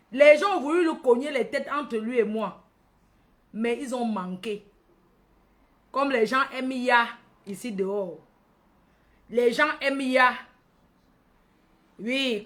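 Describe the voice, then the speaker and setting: A woman's voice: a little high, slow, pauses often, fairly bright tone. A woman from Cameroon with a Cameroonian accent hosting a casual livestream, addressing followers by name, repeating phrases and tagging on questions. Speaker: livestream host.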